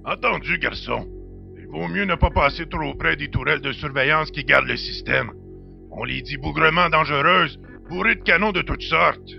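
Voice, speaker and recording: A man playing a cranky, theatrical ship's computer with an old pirate's raspy, creaky voice in an audio drama. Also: a little high, normal speed, highs cut off, faint music behind. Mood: wary. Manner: grumbling, grandiloquent, teasing.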